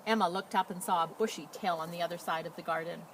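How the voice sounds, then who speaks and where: A woman speaking as a school storyteller outdoors, explaining and reading in a simple, animated way for children. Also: fairly low pitch, fast. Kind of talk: casual introduction and expressive children's read-aloud. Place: outdoors beside a chicken coop. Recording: noisy outdoor recording with animal sounds.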